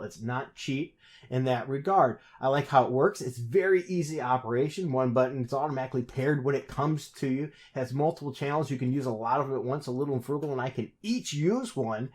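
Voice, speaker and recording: A man at an everyday mid pitch talks through a hands-on gadget review in a relaxed, chatty way. He often stops mid-word and restarts, correcting himself as he goes. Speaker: host reviewing a product. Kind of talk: casual product review.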